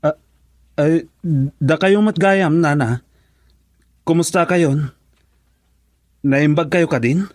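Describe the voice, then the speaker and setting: An edgy evasive husband in a radio drama, halting and really slow, dry close sound. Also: male voice, on the high side, darkish tone, dry recording. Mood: nervous, flustered. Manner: hesitant, halting.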